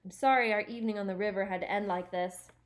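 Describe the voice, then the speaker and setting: A woman's voice: medium pitch, fairly even intonation, fast talker, lightly acted. A woman voicing a tiny, fussy male storybook adventurer who talks formally and with desperate, dejected drama. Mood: stiffly polite. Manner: trembling, desperate, formal phrasing.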